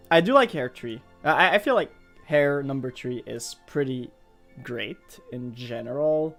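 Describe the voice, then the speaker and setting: A man's voice: medium pitch, animated, takes it slowly, long pauses, a bit bright. A man from Montreal, a native French speaker with a Quebec French accent, chats casually in English as he streams a game, rambling and hesitant as he thinks aloud. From Montreal, Canada. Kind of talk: casual live gameplay chat. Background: music.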